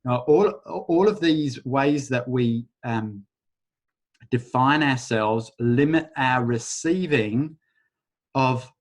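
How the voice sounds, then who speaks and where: A man leading a live online workshop over a video call, talking in a casual, rambling way and softly chanting a repeated phrase. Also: mid-range voice. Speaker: workshop facilitator.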